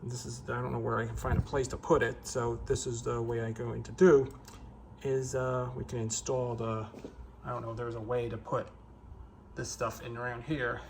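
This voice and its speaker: A man giving a casual, unscripted how-to narration as he works on a repair, halting and hesitant as he thinks aloud. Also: medium pitch, little pitch movement, vocal fry, slight background noise. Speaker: hobbyist repairer presenting own video.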